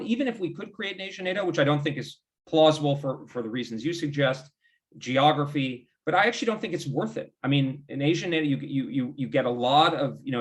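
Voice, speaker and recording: An American man speaks as a foreign policy expert being interviewed. His delivery is discursive and halting, as he qualifies and rewords his points in informal discussion. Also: fairly high pitch. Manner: discursive, halting, qualifies and rephrases himself often.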